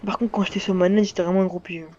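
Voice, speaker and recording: A man chatting casually with another player during an online game, relaxed and quick to laugh. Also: high-pitched, lo-fi sound, a little hiss or hum. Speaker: fellow player. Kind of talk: casual gaming chat.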